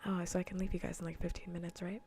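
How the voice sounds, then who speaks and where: A woman livestreamer chatting casually in ASMR style while gaming, soft, breathy and often whispering, with a playfully scolding manner. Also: on the low side, little pitch movement, rapid-fire, slight background noise.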